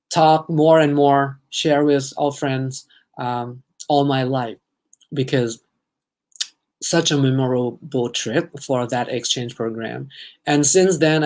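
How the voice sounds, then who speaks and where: Chatty Thai man hosting a live stream. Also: medium pitch. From Thailand. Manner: chatty, reads out viewer comments, sprinkles in foreign phrases. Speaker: livestream host. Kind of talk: casual live-stream chat with viewers.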